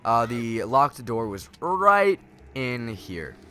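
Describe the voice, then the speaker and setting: A man's voice: medium pitch, big pitch swings, takes it slowly, pushed and bright. A young man with a nasal voice from a starting cold, rambling through casual, sarcastic gameplay commentary as a let's play host. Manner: rambling and sarcastic, self-correcting.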